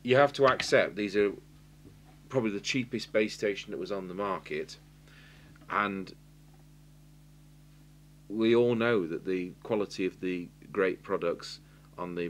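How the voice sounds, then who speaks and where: Deep-voiced English man, matter-of-fact. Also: a little hiss or hum. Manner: matter-of-fact, thinking aloud. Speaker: radio repair technician, presenter. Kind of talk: informal hands-on repair demonstration commentary. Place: indoors at a workbench.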